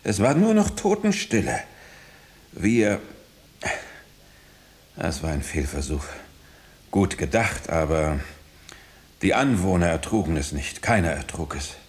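Deep-voiced, haunted artificial man. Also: big pitch swings, darkish tone, full character voice. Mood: agitated, desperate. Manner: halting, insistent, breaking off sentences. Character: hunted, engineered noise-eating man, haunted and urgent. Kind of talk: German radio drama acting.

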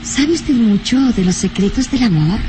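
A woman's voice: medium pitch, lively intonation, normal speed, lightly acted. An actress playing a romantic, independent-minded young lady in an old radio serial, coy, sighing and gushing. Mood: enraptured. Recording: old radio recording, faint noise.

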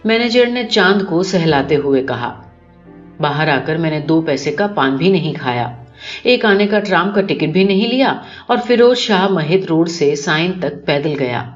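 A woman's voice: low-pitched, lively intonation. A woman reading a literary short story as scripted first-person audiobook narration. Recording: dull lo-fi recording with music behind. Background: clear music.

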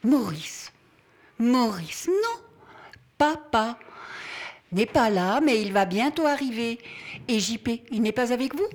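A high, fluttering grandmother's voice performed by an actress, older and fussy. She coos and chatters effusively in audio drama style, forever welcoming and gushing. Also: expressive, slow, pauses often. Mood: cooing.

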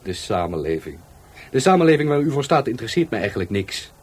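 An actor with a Netherlands Dutch accent playing a touchy, defiant law-school dropout in a radio play, by turns hesitant and scornful. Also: male voice, medium pitch, very animated, fast talker, breathy edge. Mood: scornful, irritated. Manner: hesitant, then scornful and argumentative. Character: defiant, touchy young male law-school dropout, murder victim's helper.

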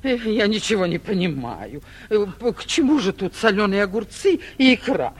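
Animated, imperious provincial lady. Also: medium pitch, moderate pace, slightly rough. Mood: puzzled, reading aloud. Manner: grand, imperious, flustered. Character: vain, bossy, curious mayor's wife and mother. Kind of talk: 1940s theatrical stage comedy acting for radio.

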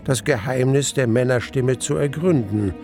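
An actor playing a wistful man recounting his past love as narrator of a German radio play, in measured, literary standard German. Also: mid-range voice, over music, close to an everyday voice. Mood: reflective.

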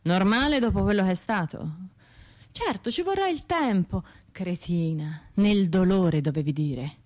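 An actress with a Tuscan accent playing a wounded, self-reproaching bombing survivor in an intimate radio drama monologue, halting and colloquial. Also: female voice, mid-range voice, lively intonation, a little mellow, lightly acted. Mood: bitter self-reproach. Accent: Tuscan Italian. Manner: halting, colloquial, shifting between murmurs and outbursts.

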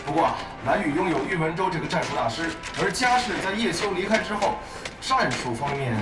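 A man's voice: a little high, lively intonation, a little fast. A man playing an assured esports analyst in an audio drama, explaining matches conversationally as a broadcast over speakers. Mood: analytical. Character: measured male esports analyst, co-commentator. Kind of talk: sports commentary in an audio drama. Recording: echoey, loud music behind.

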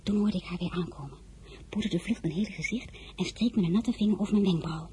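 A woman's voice: lowish voice, quick pace, mellow, slight background noise, lightly acted. An actress playing a headstrong, easily indignant teenage girl who confides her story like a diary in a radio drama. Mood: confiding, piqued. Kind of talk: radio drama narration and dialogue.

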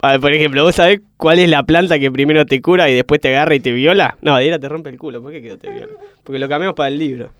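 Higher-voiced Argentine man, joking. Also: fast talker, fairly bright tone, no echo, studio quality. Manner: joking, colloquial. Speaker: comedy group performer. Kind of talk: rehearsing and recording comedy sketches, casual banter.

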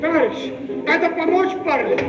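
A man's voice: very high-pitched, moderate pace, muffled recording. An actor playing an eager, blustering man in a Soviet satirical radio play, effusive and given to repeating his words. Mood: agitated, blustering. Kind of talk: theatrical comic radio play acting. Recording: echoey archive radio recording with crowd.

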